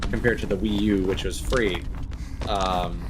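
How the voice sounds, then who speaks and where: A man giving casual live commentary as he plays a game, halting and thinking aloud as he goes. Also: low-pitched, fairly even intonation, rough recording. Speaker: game streamer.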